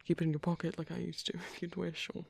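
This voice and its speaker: A man voicing a gentle, caring vampire priest in a soft, hesitant ASMR roleplay, his breathy voice often dropping to a whisper. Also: a little high, completely flat delivery, fast, dry recording. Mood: tender, reassuring. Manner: hesitant, reassuring, tender.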